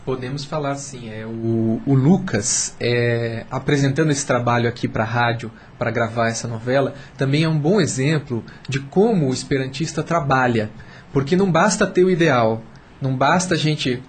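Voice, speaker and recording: A man with a Brazilian accent speaking as a radio panel guest, explaining and urging emphatically, repeating phrases to build his point. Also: a little high. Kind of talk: persuasive, explanatory discussion. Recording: clear background noise.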